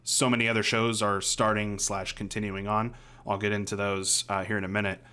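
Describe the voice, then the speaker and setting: A man's voice: on the low side, little pitch movement, a little fast, sharp tone, clear, resonant tone. A man in his thirties chatting casually as a podcast co-host, talking about TV in an informal, rambling way from a room at home. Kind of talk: casual chat, reviewing TV shows. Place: home room, on a video call.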